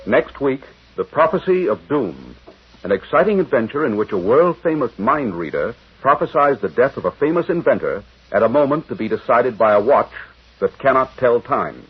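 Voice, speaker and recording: Casting: male American announcer, vintage 1940s radio delivery, lively inflection, rough husky edge, thin tinny sound. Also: mid-range voice, moderate pace, soft background music.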